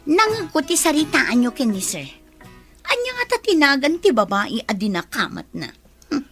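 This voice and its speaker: A woman's voice: high voice, very animated, normal speed, fairly bright tone, soft background music, heavily acted. A woman with an Ilocano accent playing a wistful, jealous office secretary in a radio drama, pouty and defensive.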